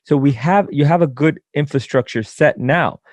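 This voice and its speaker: A man's voice: fairly high pitch, moderate pace, darkish tone, dry recording. Enthusiastic male podcast host, dry sound. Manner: enthusiastic, repetitive emphasis. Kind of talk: interview questions.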